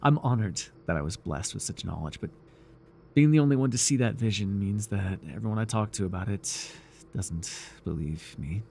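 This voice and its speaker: A man playing a devoted, obsessive, fawning cult follower in a theatrical, halting ASMR roleplay. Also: lowish voice, animated, a little mellow, a little hiss or hum. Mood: dejected. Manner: theatrical, breathy sighs, nervous chuckles, halting. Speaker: voice actor.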